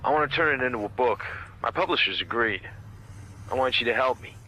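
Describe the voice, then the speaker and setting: An actor playing a driven, obsessive writer on a hunt, speaking in terse, insistent lines in a radio drama. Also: male voice, medium pitch, expressive, a little fast, pushed and bright, a little hiss or hum, low-quality recording. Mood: determined. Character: driven, obsessive male writer hunting a killer.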